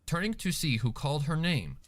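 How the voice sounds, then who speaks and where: Man narrating a story, lively intonation. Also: on the high side, fairly quick. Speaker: fanfiction narrator. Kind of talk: scripted story narration with character voices.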